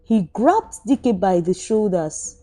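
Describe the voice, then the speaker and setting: A woman's voice: medium pitch, big pitch swings, slow pace, warm tone, soft background music. A woman storyteller reading a scripted folktale in a dramatic narrative style.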